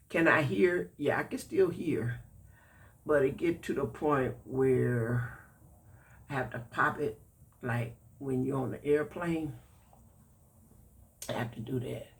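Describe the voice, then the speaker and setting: A man, congested and a bit raspy, chatting folksily with viewers in a product-review vlog. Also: mid-range voice, fairly bright tone. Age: 60s.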